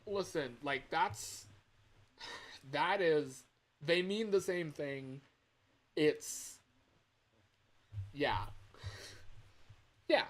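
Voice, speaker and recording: A man's voice: fairly high pitch, extremely slow, pauses often. A man chatting casually on a livestream in a rambling, self-deprecating way. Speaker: livestreamer recording game voice lines. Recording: steady fan hum in background.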